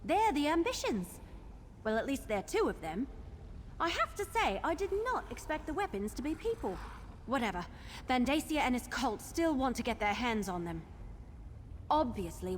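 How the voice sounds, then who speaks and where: An actress playing a spirited, plucky young adventurer, chatty and confident, in a video game. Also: female voice, fairly high pitch, slight background noise. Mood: brisk, surprised. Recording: reverberant, faint background ambience.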